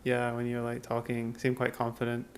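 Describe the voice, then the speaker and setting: A man in his late twenties from England with an English accent, chatting casually in a low-key, hesitant way as a guest on a vlog. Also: completely flat delivery, a little fast, slight background noise. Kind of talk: casual chat.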